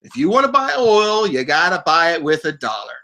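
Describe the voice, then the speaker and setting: A man hosting a talk show, his voice pitched high and bright. He explains things at length, chuckling now and then and often repeating himself as he restarts a thought. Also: big pitch swings, brisk. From United States. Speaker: podcast host.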